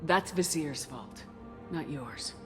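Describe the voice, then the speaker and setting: A protective woman commander in a sci-fi game. Her voice is low for a woman, calm and firm, with a reassuring steadiness. Faint music sits behind it. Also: slow pace. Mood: gentle, steady. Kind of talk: dramatic video game acting. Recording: music under the voice.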